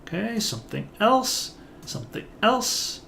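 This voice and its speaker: A man's voice: sits high, very animated, a little slow, creaky, bright, forceful tone, a little hiss or hum. A man casually reading a game's dialogue and narration aloud, often hesitating as he reads. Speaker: game let's player reading dialogue aloud.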